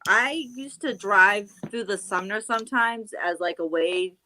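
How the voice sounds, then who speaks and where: A woman's voice: medium pitch, measured pace, sharp tone, a little hiss or hum, rough recording. A woman from the North Shore, chatting as co-host and filling her talk with "like". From Massachusetts North Shore. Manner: chatty, lots of "like", swears casually. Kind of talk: casual chat.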